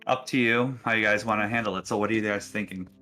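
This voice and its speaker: A man's voice: fairly low pitch, steady tone, fast, bright, forceful tone, faint music behind. A man working as game master, narrating casually in an easygoing, encouraging way. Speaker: game master of a tabletop roleplaying game.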